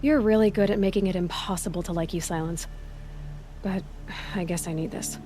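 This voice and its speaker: An actress playing a guarded, stubborn young hunter in a video game cutscene, speaking in a dry, terse, sarcastic way. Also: female voice, fairly low pitch, steady tone, fairly quick, over music, lightly acted. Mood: bitter, sarcastic. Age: young adult.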